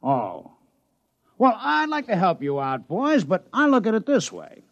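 An actor playing a brash, folksy, shrewd casino boss with slangy, breezy hustler talk in a vintage radio drama. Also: male voice, high-pitched, wildly swooping pitch, measured pace, no echo, dull audio, heavily acted. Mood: brash, bargaining.

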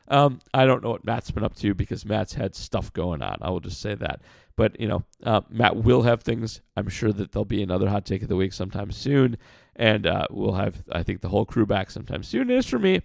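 A man hosting a casual podcast, answering listener questions in a blunt, frank way with lots of fillers; his voice sounds rough like sandpaper. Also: on the low side, darkish tone, dry recording, clean studio sound. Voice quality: gravelly, like sandpaper. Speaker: podcast host.

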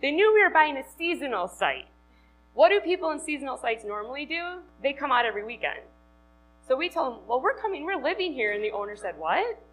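A woman's voice: sits high, sing-song, brisk, a bit bright, slight background noise, poor audio. A woman in her thirties from Wisconsin with a Wisconsin accent, giving a chatty, upbeat talk to a live audience and laughing often. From Wisconsin, USA. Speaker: conference speaker.

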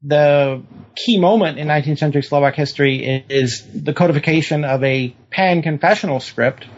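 A man's voice: fairly high pitch, normal speed. A man answering interview questions about history at length, lecturing and thinking aloud with restarts. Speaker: historian guest. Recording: dull lo-fi recording.

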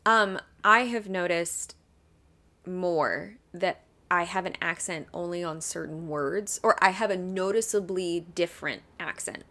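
Podcast co-host, female, lower voice, relaxed and chatty while telling a true crime case. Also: unhurried. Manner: chatty, laughs often. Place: Ohio.

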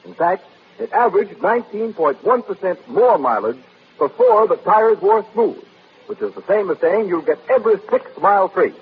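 A man's voice: sits high, expressive, moderate pace, husky. A man announcing a vintage radio commercial in a formal, emphatic salesman's manner. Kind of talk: vintage radio advertising read. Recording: tinny, narrow-band vintage radio recording, noise.

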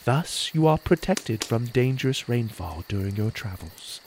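A man voicing a solemn mystical moon spirit, speaking softly and slowly. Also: medium pitch, expressive, a little hiss or hum.

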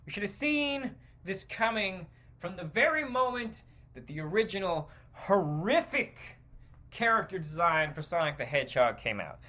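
Animated, sarcastic man ranting. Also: high-pitched, moderate pace. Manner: exasperated, sarcastic, swears casually. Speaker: podcast host. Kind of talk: stream-of-consciousness opinion rant.

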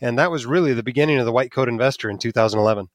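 A man who grew up in Alaska tells his money story as a podcast guest. He speaks in a middle-pitched, unguarded way and often laughs at himself. The sound is dry and close with no room around it. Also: very fast, no room sound. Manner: candid, self-deprecating, chuckles while talking. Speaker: podcast guest, physician blogger. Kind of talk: casual interview storytelling.

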